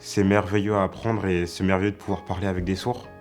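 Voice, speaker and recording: A man with a very deep voice speaks earnestly and encouragingly, pausing now and then with small hesitations. Soft music plays faintly under his words. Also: flat delivery. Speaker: interviewee. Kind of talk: documentary interview voice-over.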